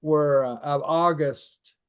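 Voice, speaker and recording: A man who leads language teaching workshops, chatting casually on a call and talking haltingly. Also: on the high side, fairly even intonation, clean tone, highs cut off. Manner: halting, with many fillers and restarts. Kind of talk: casual chat on a video call.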